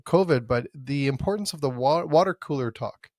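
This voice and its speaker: A man's voice: mid-range voice, expressive, moderate pace, a little mellow, no room sound. Chatty male podcast host, dry sound. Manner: rambling, self-interrupting with repeated starts. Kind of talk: casual conversational interview.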